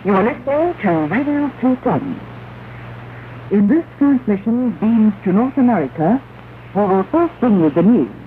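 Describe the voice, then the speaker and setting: A woman's voice: a little high, animated, phone-quality sound. Woman announcer on noisy 1960s shortwave radio. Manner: formal, measured, declamatory reading. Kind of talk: 1960s shortwave propaganda news broadcast.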